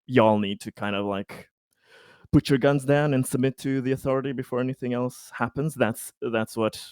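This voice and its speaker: A man at a tabletop roleplaying game, explaining the situation in a casual, deliberate way. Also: lowish voice, clear, resonant tone, no room sound.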